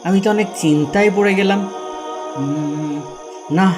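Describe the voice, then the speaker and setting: A man's voice: high-pitched, unhurried, loud music behind the voice. A man playing a poor, devout, remorseful husband who pleads earnestly in a dubbed religious cartoon. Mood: anguished, repentant. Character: poor pious husband Ali, humble and remorseful.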